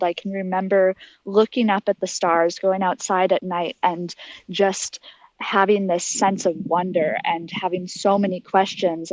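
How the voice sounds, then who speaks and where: A woman's voice: mid-range voice, no room sound, dull audio. A woman in her early twenties giving articulate, earnest, explanatory answers as an interview guest. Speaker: interview guest, aspiring astronaut.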